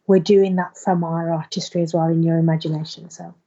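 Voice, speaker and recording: A woman's voice: low voice, little pitch movement, a little fast, mellow. Effusive woman on a dull, lo-fi recording. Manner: effusive, earnest, emphatic. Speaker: actor being interviewed. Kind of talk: informal interview, promoting an audio play.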